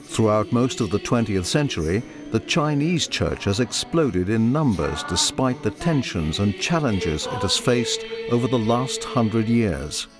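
A man giving scripted documentary narration in a measured voice. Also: fairly low pitch, very animated. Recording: voice-over with music behind.

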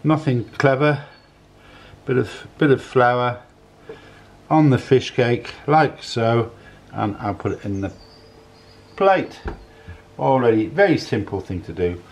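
A man in his sixties giving a casual, unhurried cooking demonstration in a home kitchen, often repeating his phrases as he goes. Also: medium pitch, animated, gravelly. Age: 60s. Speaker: home cook, presenter. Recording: clattering dishes, clicks, kitchen noise.

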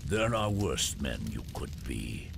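An actor playing a grave, loyal warrior retainer in a video game, with a gravelly voice. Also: male voice, very deep voice, very animated, slow pace, background noise, exaggerated voice. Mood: solemn. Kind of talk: dramatic game cutscene acting.

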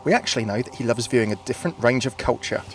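A man's voice: mid-range voice, rapid-fire, a little hiss or hum. A man hosting a video with scripted narration, recorded as a close voice-over. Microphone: close dry voice-over microphone.

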